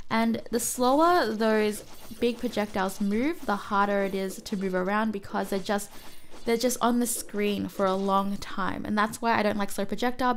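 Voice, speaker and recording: A woman with a cough-roughened voice narrating a mobile game guide, explaining enemy patterns and often correcting herself. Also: mid-range voice. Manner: explanatory, self-correcting, apologetic. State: hoarse from a cough. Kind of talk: gameplay guide voice-over.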